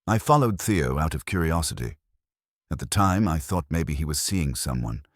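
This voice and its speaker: Male reader as earnest woman in a mystery audiobook, guilt-laden, deep register, dry studio-like sound. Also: very animated, normal speed, dry recording, exaggerated voice. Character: woman client confessing, earnest and guilt-burdened.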